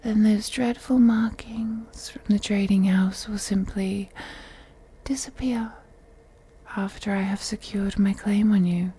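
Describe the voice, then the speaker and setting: A woman's voice: mid-range voice, fairly even intonation, warm tone. A woman playing a wealthy, possessive female vampire in an intimate audio roleplay, speaking in a whispery, teasing, condescending way. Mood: condescending, playful. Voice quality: breathy, whispery. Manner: slow, purring, condescending, deliberate.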